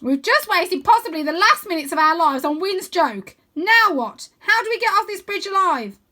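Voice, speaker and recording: Woman acting an anxious high-voiced young boy. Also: sing-song, moderate pace, pushed and bright, exaggerated voice. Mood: exasperated. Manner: urgent and earnest. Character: anxious, earnest young boy, afraid of heights.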